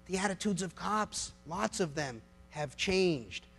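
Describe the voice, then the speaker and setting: A high-pitched male voice with a gravelly fry and an American accent. He is middle-aged and speaks as an impassioned orator addressing a live audience. His delivery is emphatic, built on rhetorical questions and pauses for weight. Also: animated, a bit bright, a little hiss or hum. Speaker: lawyer giving a public speech.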